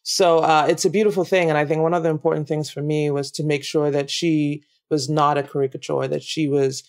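A Nigerian-accented woman from Nigeria, a guest actress chatting reflectively in an interview, laughing easily and pausing with ums. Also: deep voice, flat delivery, a little mellow. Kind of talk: casual interview chat.

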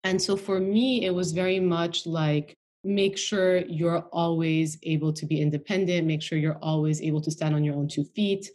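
A woman's voice: lowish voice, steady tone, moderate pace, few pauses, a little mellow. A woman from the US capital, a guest chatting in an interview, loose and talkative with lots of filler words. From Washington DC, United States. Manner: chatty, frequent "you know" and "like". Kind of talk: casual interview conversation.

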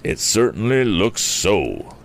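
A man voicing a gruff, plain-spoken criminal gang member in an audiobook novel. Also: rumbling low, sing-song, moderate pace, sharp tone, exaggerated voice. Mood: resigned. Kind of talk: acted dialogue in an audiobook adventure novel. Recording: faint background noise.

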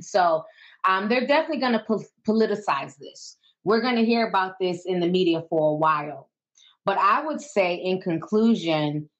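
A woman's voice: on the low side, dull audio. Chatty woman hosting a call-in show. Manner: chatty, advising listeners. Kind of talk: casual call-in show chat.

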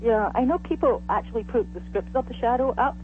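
A man's voice: very high-pitched, fairly quick. A man from Canada calling in by phone, speaking casually and hesitantly. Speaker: caller, old-time radio fan. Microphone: telephone. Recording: tinny phone line with hum and faint noise.

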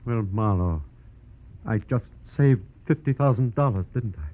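An actor playing a betrayed, wealthy man in a 1940s radio drama, halting, resigned and heavy-hearted. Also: on the low side, animated. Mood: resigned, bitter. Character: subdued, betrayed rich man in a wheelchair. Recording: very muffled old radio recording.

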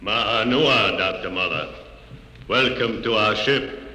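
Calm, menacing male chief, lowish voice ringing in a hall, classic radio play. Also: takes it slowly, exaggerated voice. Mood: ominous calm. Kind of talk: old-time radio drama acting. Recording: echoey hall, old radio recording.

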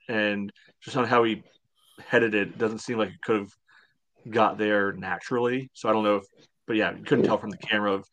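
Male podcast co-host, slightly deep voice, dry close sound, casual sports discussion. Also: a bit bright, dry recording.

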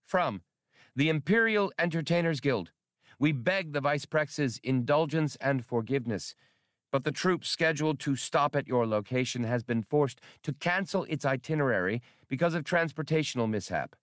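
A man's voice: medium pitch, expressive, normal speed, a bit bright, dry recording, studio quality, voice pushed up, close to an everyday voice. A man voicing a fawning, pompous male guild secretary reading a formal message. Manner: grovelling, ornate.

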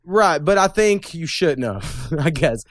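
A man's voice: a little high, animated, slow, no echo, clean studio sound. A young man hosting a casual podcast, talking bluntly and opinionatedly and swearing freely. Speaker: podcast host. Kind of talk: casual political chat.

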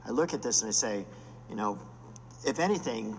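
A man's voice: lowish voice, expressive, brisk, vocal fry, big-room sound, a little hiss or hum. Older man in his sixties, blunt. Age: 60s. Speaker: paleontologist and geologist. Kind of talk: panel discussion.

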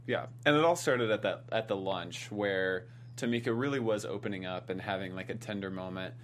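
A man's voice: fairly low pitch, fairly quick, slight background noise. Playful punning man on a panel. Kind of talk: casual panel chat.

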